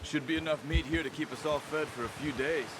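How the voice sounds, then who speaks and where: An actor playing a calm, determined outlaw hunter in a video game, speaking in a measured, firm way. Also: male voice, on the high side, very noisy, lightly acted. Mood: calm, steady. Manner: measured, plain-spoken, firm. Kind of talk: video game cutscene acting. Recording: loud music behind the dialogue.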